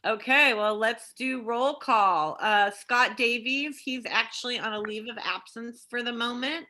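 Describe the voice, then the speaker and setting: A woman runs a public meeting on a video call. Her voice sits a little high and has a bright, forward edge. She handles procedure in an informal, spoken way. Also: a little slow. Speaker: meeting chair.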